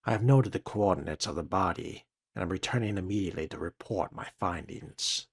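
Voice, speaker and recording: A man with a low, husky voice narrates a video game in character. He speaks in a measured, formal way with a dry, musing tone, as if thinking aloud or reading from a document. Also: dry recording. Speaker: player role-playing a video game.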